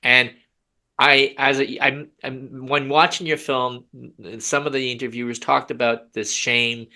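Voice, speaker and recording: A man hosting a podcast discussion, talking earnestly in halting bursts with many restarts. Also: mid-range voice, little pitch movement, bright, forceful tone. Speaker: podcast host. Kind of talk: casual discussion.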